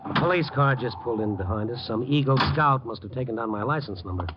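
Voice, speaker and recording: An actor playing a wry, hard-boiled but caring newspaper reporter, narrating and acting in a clipped, colloquial radio noir style. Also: male voice, medium pitch, fast talker, background noise, lightly acted. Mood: concerned, urgent. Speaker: narrator and lead. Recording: muffled old radio recording with music.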